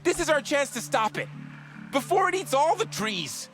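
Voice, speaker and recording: A woman's voice: high voice, big pitch swings, moderate pace, soft background music. A woman playing an earnest, childlike young nature lover in a video game. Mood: earnest, indignant. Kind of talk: video game cutscene acting.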